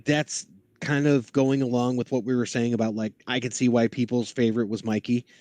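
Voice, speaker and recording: A man's voice: mid-range voice, little pitch movement, fairly quick, a little mellow, no echo. A man in his forties, a guest on a fan show, reminiscing casually and acting out little quotes as he goes. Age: 40s. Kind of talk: casual chat, nostalgic reminiscing.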